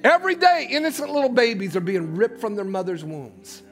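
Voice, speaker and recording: A male pastor preaching a sermon, swinging between loud shouts and hushed low passages and repeating words as he builds. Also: brisk, faint music behind.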